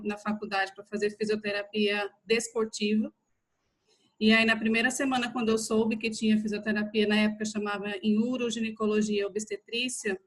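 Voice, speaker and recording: A Brazilian woman with a fairly high voice and little rise and fall in pitch gives an online class. She speaks informally, addressing her listeners directly like colleagues. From São Paulo state, Brazil. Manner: conversational, addresses listeners familiarly. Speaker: physiotherapist teaching an online class.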